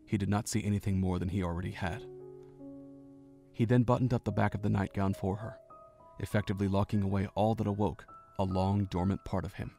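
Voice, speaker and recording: A man reads the story's narration in a somewhat low, measured voice. His delivery stays even and steady. Soft music plays faintly underneath. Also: vocal fry.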